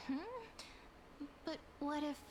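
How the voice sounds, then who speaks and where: A woman playing a curious, friendly young traveler in a video game, with a polite, expressive delivery. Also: takes it slowly, background noise, natural voice. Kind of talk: scripted video game voice acting.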